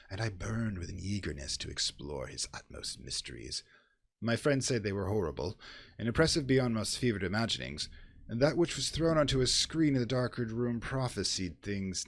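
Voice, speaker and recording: A man giving a dramatic, theatrical horror reading in an intense, brooding manner, swelling from hushed passages to loud ones. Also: low voice, creaky. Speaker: reader of a horror story.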